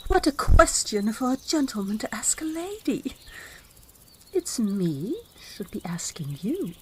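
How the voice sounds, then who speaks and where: A woman's voice: fairly high pitch, very animated, measured pace, noisy background. A woman playing a spirited young woman in love. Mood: tender. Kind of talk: old-time radio drama acting.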